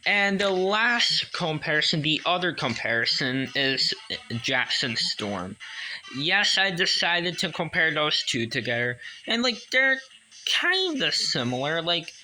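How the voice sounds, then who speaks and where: A man with a fairly high, very bright voice reviews a collectible toy casually. He talks slowly and haltingly, circling back over his words with enthusiasm. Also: very animated, low-quality recording.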